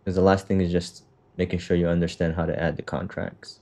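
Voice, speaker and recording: A man's voice: sits low, little pitch movement, brisk, gravelly, dark tone. A man coaching a student through a trading setup on a call, talking loosely with lots of fillers and the odd swear. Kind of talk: casual walkthrough on a call.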